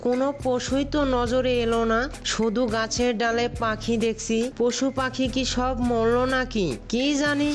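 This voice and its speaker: A woman's voice: on the high side, fairly even intonation, moderate pace, soft background music, close to an everyday voice. A woman voicing a hungry, lazy but clever fox in a children's cartoon, complaining and musing to itself. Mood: hungry grumbling. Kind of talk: children's cartoon story voice acting.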